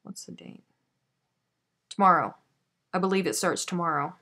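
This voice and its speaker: A woman's voice: lowish voice, lively intonation, moderate pace, bright, forceful tone. Chatty woman hosting a live craft stream. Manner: chatty and self-deprecating, with sighs and laughs. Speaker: host of a live crochet stream. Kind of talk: casual chat while crocheting, reading viewer comments.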